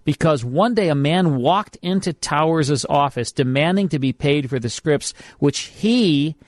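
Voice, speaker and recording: A man's voice: on the high side, lively intonation, a little slow, no room sound, studio quality. A man hosting a late-night radio show, chatting casually and introducing a classic program. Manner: friendly, chatty, restarts often. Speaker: radio host. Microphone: studio microphone.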